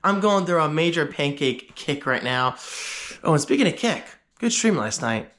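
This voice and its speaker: A man's voice: a little high, very animated, normal speed, fairly bright tone. A man talking casually to the camera in a home vlog, chatty and upbeat with rambling asides, sounding slightly congested. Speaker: vlogger. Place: at home, indoors.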